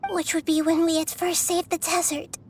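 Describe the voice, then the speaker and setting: A woman voicing a small, childlike nature goddess in a video game, soft and girlish, speaking in a composed, earnest, gentle way. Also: very high voice, little pitch movement, normal speed, slight background noise. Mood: calm, resolute. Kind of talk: video game character acting. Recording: music behind the voice.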